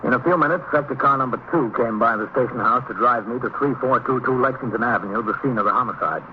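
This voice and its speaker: An actor as steady police captain, muffled old radio. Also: male voice, mid-range voice, fast, noisy background, lightly acted. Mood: businesslike, measured. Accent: American. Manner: clipped, matter-of-fact, authoritative. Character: police precinct captain, steady, businesslike commanding man. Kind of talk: old-time radio police drama, narration and dialogue.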